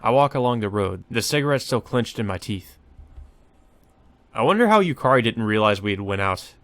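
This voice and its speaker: A man's voice: fairly low pitch, a bit bright, dry recording. A man hosting a Let's Play, reading a visual novel aloud with casual commentary.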